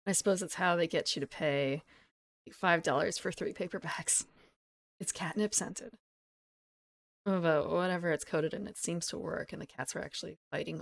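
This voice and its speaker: Croaky, low-voiced woman streamer. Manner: rambling, giggly, self-correcting. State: croaky from just waking up. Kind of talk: casual live-stream chat.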